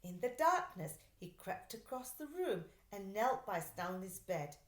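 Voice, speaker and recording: A woman reading a children's storybook aloud like a teacher, in an expressive, deliberate way. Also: mid-range voice. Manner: animated, deliberate storytelling delivery. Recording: roomy, echoey sound.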